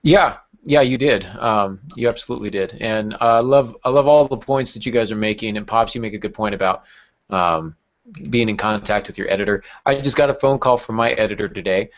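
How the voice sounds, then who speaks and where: A man's voice: on the low side, a little fast. A man hosting a podcast in casual chat, affable and self-deprecating. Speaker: podcast host, novelist. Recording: dull lo-fi audio.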